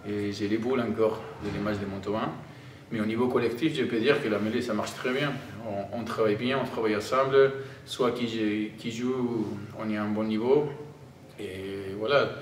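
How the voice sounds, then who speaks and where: A man with an Argentine Spanish accent, speaking halting non-native French as a rugby player answering press questions. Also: fairly low pitch, steady tone. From Argentina (Tucumán, in the north). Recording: roomy, distant microphone, audible background noise.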